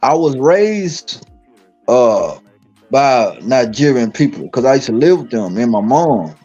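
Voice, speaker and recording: A man from Dallas speaks with a husky, mellow voice. He tells his stories emphatically, circling back and repeating phrases with lots of restarts. The stream audio is dull and lo-fi. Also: medium pitch, sing-song, a little slow, soft background music. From Dallas, Texas. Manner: repetitive, emphatic storytelling with many restarts. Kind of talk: casual live-stream chat.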